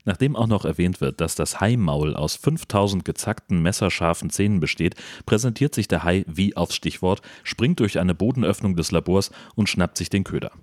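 A man with a deep voice narrates a film plot from a script. He sounds wry and tongue-in-cheek, in a very dry recording. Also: lively intonation, normal speed, hardly any pauses, darkish tone, no room sound.

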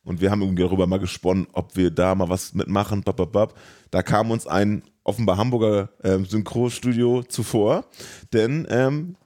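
A man with a fairly low voice co-hosts a casual film podcast. He talks in a colloquial, slightly rambling way, as in a relaxed chat among co-hosts. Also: moderate pace. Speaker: podcast co-host.

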